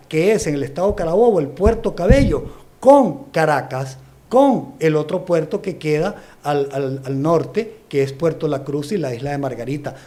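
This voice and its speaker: Brief: man from Venezuela, interview guest, emphatic and explanatory, a touch high in pitch. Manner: forceful, explanatory, builds lists of accusations. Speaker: guest analyst. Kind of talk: emphatic political commentary.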